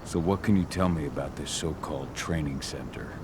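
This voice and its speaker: A man playing a gruff, brooding werewolf warrior and determined father in a video game, terse and restrained. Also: a bass voice, brisk, noisy background. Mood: focused.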